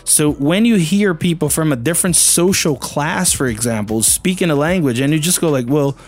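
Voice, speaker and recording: A man's voice: fairly high pitch, moderate pace, faint music behind. Chatty Brazilian man teaching English. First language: Portuguese. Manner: chatty, fills with "you know" and "man", acts out learners' voices. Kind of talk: casual video lesson.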